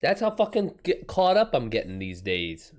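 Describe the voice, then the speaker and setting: A man's voice: mid-range voice, huge pitch swings, moderate pace. A man chatting informally as an author on a livestream, rambling in loose, slangy, sweary talk. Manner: rambling, retells exchanges in quoted back-and-forth. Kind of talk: casual livestream chat with anecdotes.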